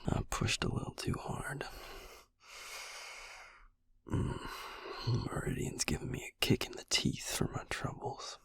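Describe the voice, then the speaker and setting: A man voicing a gruff, caring, sardonic vampire healer in an intimate ASMR roleplay, speaking in a breathy, gravelly near-whisper. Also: a little slow, long pauses, fairly bright tone, no echo, lightly acted. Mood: weary, teasing.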